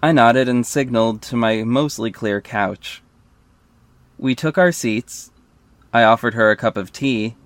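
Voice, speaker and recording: A man's voice: medium pitch, no room sound, lightly acted. A man playing a wry, self-aware superhero who narrates his own story in a scripted audio drama.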